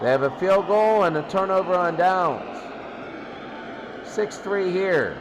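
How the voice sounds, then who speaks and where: A man gives off-the-cuff football score commentary as a host. His voice sits high and he goes slowly, in short clipped fragments with pauses, over clear background noise. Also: expressive. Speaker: sports studio host.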